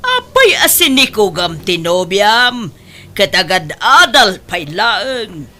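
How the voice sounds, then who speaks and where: Proud status-minded mom in a radio drama, sharp voice, slow dragged-out words, very emotive. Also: female voice, medium pitch, very animated, exaggerated voice. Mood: scolding, indignant. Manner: emotive, exclamatory, drawn-out delivery. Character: proud, status-conscious middle-aged mother, scolding and boasting. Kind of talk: Ilocano audio drama acting.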